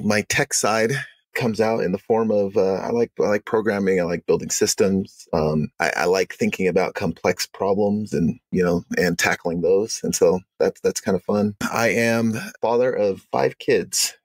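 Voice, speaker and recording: A man's voice: on the low side, measured pace, no echo. A man from Uganda in his thirties, a guest on a podcast, talking casually and restarting his sentences often. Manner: relaxed, restarts sentences, lots of "you know". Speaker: guest, software company founder.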